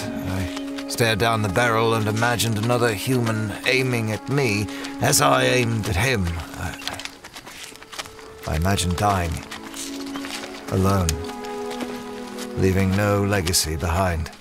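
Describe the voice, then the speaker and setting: A male actor as an earnest adventurer-scholar weighed down by guilt, speaking in a low register. His audio drama delivery is hesitant and confessional, with music clearly underneath. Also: a little slow. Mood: haunted, confessional.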